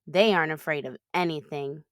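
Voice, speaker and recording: A woman voicing a young girl in a children's storybook, fussy yet playful and expressive. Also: low voice, brisk, dry recording, clean studio sound, natural voice. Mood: determined. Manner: expressive, squealing when excited.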